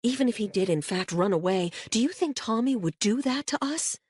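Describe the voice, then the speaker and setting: A woman's voice: fairly high pitch, expressive, brisk, a little mellow, husky, no room sound, clean studio sound, pitched above the performer's own voice. A woman voicing a grieving mother who speaks in an emphatic, insistent, tearful way. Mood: distraught. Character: distraught mother, older woman, sharp and grieving.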